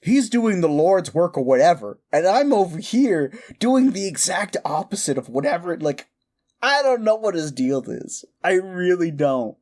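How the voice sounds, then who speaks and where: A man playing a giddy, mischievous necromancer in a comedic audio roleplay, rambling, stammering and correcting himself excitedly. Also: high-pitched, measured pace. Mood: gleeful, incredulous.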